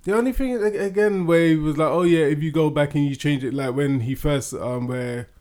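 A man's voice: fairly high pitch, fairly quick, a little mellow. A man co-hosting a podcast, rambling and hesitant with many fillers and restarts, and laughing often. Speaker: podcast co-host. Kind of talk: casual chat.

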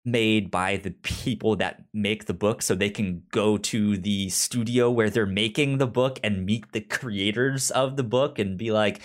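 A man's voice: fairly low pitch, measured pace, few pauses. A man co-hosting a podcast in casual, unscripted chat, often stammering and repeating syllables. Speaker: podcast co-host.

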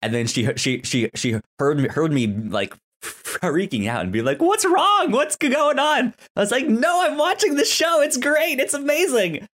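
A man hosting a podcast in casual TV review chat, with a halting, stammering delivery that often repeats words. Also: extremely high pitch, very animated, a bit bright. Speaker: podcast host.

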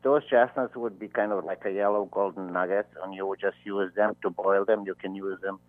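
A man with a Bosnian accent talks over a narrow, tinny phone line. His voice sits fairly low with no room echo, and he answers conversationally, often searching for words. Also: fairly even intonation. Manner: halting, searching for words in non-native English. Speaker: phone-in interview guest. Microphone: telephone.

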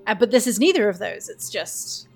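A woman's voice: medium pitch, big pitch swings, moderate pace, darkish tone, soft background music. A woman in casual gaming podcast chat, acting out little quotes as she tells things.

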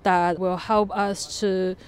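A woman presenting her project at a panel discussion, speaking thoughtfully with searching pauses and fillers. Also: medium pitch, level tone, clean tone. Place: museum venue.

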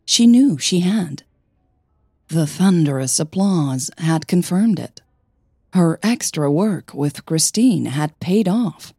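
Low, slow, mellow woman narrator. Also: animated, breathy edge, no room sound, clean studio sound. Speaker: podcast host and narrator. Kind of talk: scripted audio fiction narration.

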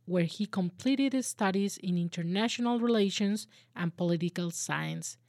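A woman's voice: medium pitch, darkish tone, no echo, clean studio sound. A young adult woman hosting and producing a podcast, reading a careful introduction and then friendly questions to her guest.

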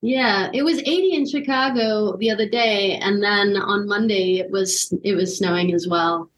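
A woman's voice: medium pitch, moderate pace, slight background noise, rough recording. A woman co-hosting a podcast over a video call, laid-back and self-deprecating. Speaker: podcast co-host. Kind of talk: casual chat.

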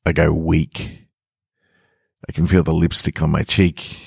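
A man reading as a bashful lovestruck guy, deep-voiced. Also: little pitch movement, unhurried, creaky, darkish tone, breathy edge, no room sound, studio quality, exaggerated voice. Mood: flustered. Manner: awkward, stammering, awestruck. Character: shy, lovestruck young man narrating his birthday. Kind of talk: first-person short story reading.

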